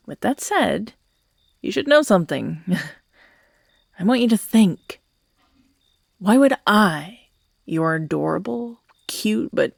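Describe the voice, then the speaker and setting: Woman acting unhinged, possessive young kidnapper, slow and gravelly. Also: mid-range voice, a little mellow, no echo, clean studio sound, heavily acted. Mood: sweetly menacing. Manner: theatrical, swinging between whispers and outbursts, sighing. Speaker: voice actor in audio roleplay. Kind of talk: dramatic ASMR audio roleplay acting.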